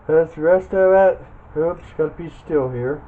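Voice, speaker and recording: A thin, phone-like recording with clear noise behind it carries a man's somewhat high voice. He is plainspoken and folksy, talking through a hands-on task in a casual tutorial style. At times he mutters to himself as he works. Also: moderate pace. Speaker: scrap metal hobbyist demonstrating teardown. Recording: muffled phone-like audio, loud background noise.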